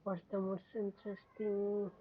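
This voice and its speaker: A woman's voice: mid-range voice, little pitch movement, a little slow, husky, narrow, tinny audio. A woman streamer giving casual reactions while playing a game.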